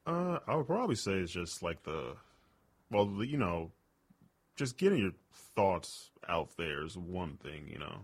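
Deep-voiced rambling male comedian. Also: very animated, measured pace. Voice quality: booming voice. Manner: rambling, heavy on fillers like "like" and "you know". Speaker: stand-up comedian guest. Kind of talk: casual interview chat.

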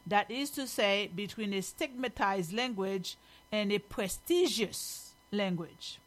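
A woman's voice: mid-range voice, slow pace. A woman from Haiti with a Haitian accent, reading news aloud as a host and commenting on it in an emphatic, opinionated way.

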